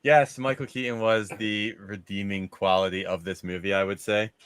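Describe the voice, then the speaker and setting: A man's voice: fairly low pitch, fairly even intonation, moderate pace, a bit bright, clean tone, no room sound, clean studio sound. A man hosting a casual panel discussion about movies, steering the conversation. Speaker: host of a movie discussion show.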